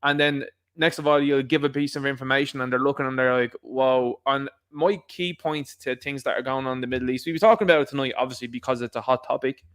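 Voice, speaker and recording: Irish man, host, casual monologue, animated, middling pitch, acts out others' lines. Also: fast. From Ireland. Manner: conversational and animated, mimicking others in asides.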